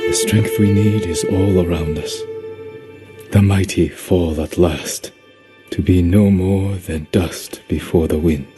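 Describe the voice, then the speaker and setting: Deep-voiced stoic samurai. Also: male voice, steady tone, warm tone. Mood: solemn, tender. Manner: measured, terse, solemn. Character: stoic, gentle samurai, loyal and grave. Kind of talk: dramatic game cutscene acting. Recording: music behind the voice.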